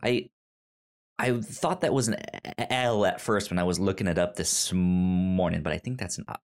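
A man's voice: low-pitched, normal speed. A man hosting a casual podcast chat about manga, often stammering and stumbling over his words. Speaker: podcast host.

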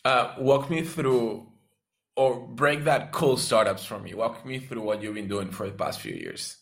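A man's voice: mid-range voice, big pitch swings, unhurried, gravelly, a bit bright. A man hosting a podcast, reading a scripted intro and then asking questions with frequent restarts. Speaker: podcast host.

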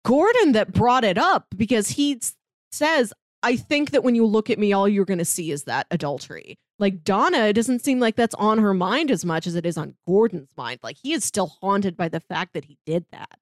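A woman co-hosting a podcast in casual chat, telling stories animatedly, acting out quotes and slipping in quick asides. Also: mid-range voice, dry recording, studio quality. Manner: animated storyteller, acts out quotes, quick asides, laughs often. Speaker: podcast co-host.

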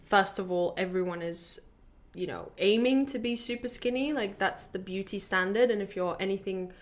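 A woman in her twenties from Latvia, chatting on a podcast in a candid, rambling way. She pauses often with fillers and breaks into laughter, and her voice sits at a medium pitch. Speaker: podcast co-host sharing her story. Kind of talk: casual podcast chat.

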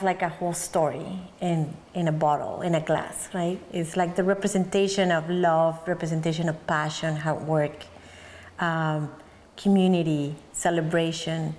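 Mexican-accented woman interviewee, lowish pitch, searching phrasing, faint noise and reverb. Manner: thoughtful, searching for words, soft laughs. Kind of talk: oral history interview. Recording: long hall reverb, faint background noise.

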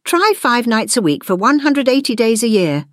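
A woman voicing an excitable, curious schoolgirl in a storybook narration. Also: fairly high pitch, normal speed, no echo, clean studio sound, voice pushed up, lightly acted. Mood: eager, proud.

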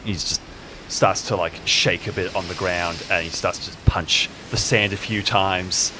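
A man narrating his character's actions in an improvised audio drama, casually and haltingly, feeling out each description. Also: low-pitched, steady tone, noisy background. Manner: casual, groping descriptions full of "like".

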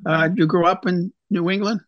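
A man's voice: sits high, fairly even intonation, moderate pace, dry recording, dull audio. A man hosting a radio talk show, asking questions in a casual, conversational way. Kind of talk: radio interview.

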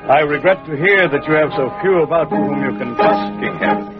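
An actor playing a measured, probing Roman official in an old-time radio drama. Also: male voice, fairly high pitch, natural voice. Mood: dry, probing. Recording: tinny old radio recording with music.

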